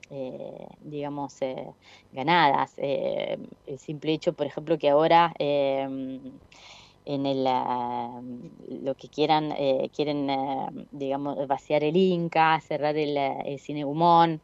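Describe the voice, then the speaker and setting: A woman's voice: low voice, darkish tone, no echo, highs cut off. A woman from Italy with an Italian accent, a filmmaker answering interview questions hesitantly, with many fillers and restarts. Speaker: filmmaker guest. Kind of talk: casual interview.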